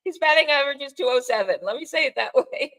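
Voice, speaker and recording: An older woman with a Bronx accent and a bright, forward voice pitched a little high. She co-hosts a sports podcast, talking loosely and off the cuff, with wry asides at her own expense. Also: lively intonation, fast talker. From the Bronx, New York, United States. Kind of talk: casual unscripted baseball chat.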